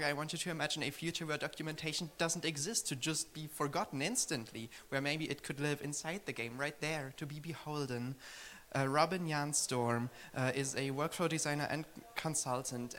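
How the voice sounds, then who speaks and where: A man hosting on stage, introducing the next speaker in a formal, slightly halting way. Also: medium pitch, fairly bright tone, a little hiss or hum. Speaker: conference host.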